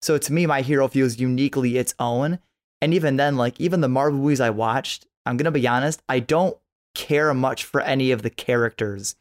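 A man with a medium-pitched voice talks as a podcast co-host. His delivery is casual and conversational, rambling through opinions and peppering sentences with "like". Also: fairly quick.

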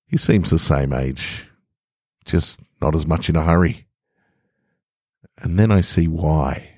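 A man's voice: rumbling low, slow, darkish tone, slightly rough, no room sound, studio quality. An Australian man hosting and reading short stories on a podcast, his voice affected by a lingering head cold. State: getting over a head cold. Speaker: podcast host and story reader. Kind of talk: scripted story narration with casual introduction.

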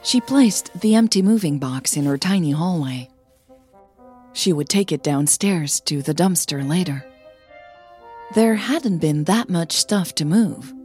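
A woman narrating a scripted audio story as a podcast host, with measured, deliberate phrasing. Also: sits low, mellow.

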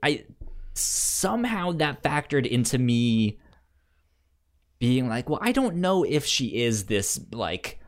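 A man co-hosting a podcast in casual chat, halting and stammering over his words as he talks. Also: mid-range voice, sing-song. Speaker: podcast co-host.

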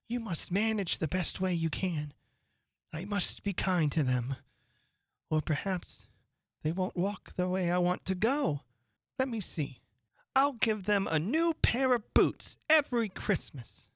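Woman putting on a little girl's voice, higher than her own, prim, fretful, slow and gravelly. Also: no echo, clean studio sound. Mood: musing. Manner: prim, talks to herself in wonder. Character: young girl, curious and fretful.

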